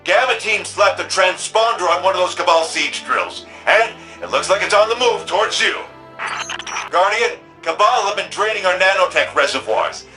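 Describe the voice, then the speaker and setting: Game casting: folksy, protective repair engineer, brisk, piercingly bright higher voice over music. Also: male voice, expressive, full character voice, poor audio. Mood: concerned, folksy. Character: protective, plain-spoken futuristic male repair engineer. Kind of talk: video game radio dialogue.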